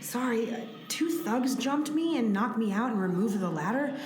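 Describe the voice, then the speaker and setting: A woman's voice: fairly high pitch, lively intonation, normal speed, a little mellow, soft background music, close to an everyday voice. A woman playing a battered but dutiful helper in a comic radio play, apologetic and hesitant. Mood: sheepish. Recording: roomy echo, faint noise.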